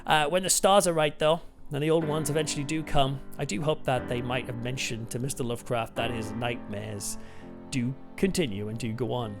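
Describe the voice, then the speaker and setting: A man's voice: medium pitch, steady tone, normal speed. A British man hosting a casual film review, talking to viewers in an informal, enthusiastic and emphatic way. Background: music.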